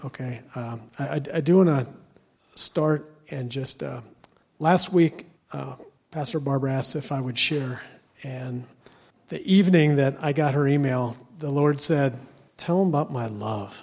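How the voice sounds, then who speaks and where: Halting, husky-voiced man preaching. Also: mid-range voice, darkish tone. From Ohio, USA. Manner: halting, repeats words, many fillers. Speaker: guest lay preacher. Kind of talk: sermon. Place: church before a congregation.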